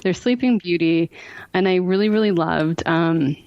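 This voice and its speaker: Low-pitched, effusive woman chatting. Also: moderate pace, darkish tone, no room sound, muffled recording. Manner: effusive, emphatic, repeats herself for emphasis. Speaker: podcast guest, horror fiction podcaster. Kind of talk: casual podcast chat.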